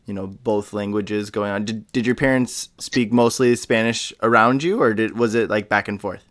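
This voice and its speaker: A man's voice: lowish voice, steady tone, normal speed, dry recording. A man hosting a podcast in a casual conversational interview style, with an enthusiastic, affirming manner. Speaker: podcast host.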